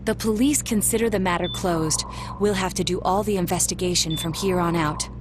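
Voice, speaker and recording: A woman playing a composed, analytical teenage detective in a video game, with measured, formal and precise speech. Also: on the low side, steady tone, background noise, lightly acted. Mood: analytical, resolute. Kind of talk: English game voice acting.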